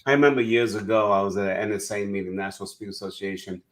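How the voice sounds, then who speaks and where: A man's voice: on the low side, steady tone, very fast. A man hosting an interview in an earnest, conversational way, often restarting his sentences as he makes a point. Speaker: interview host, speaker.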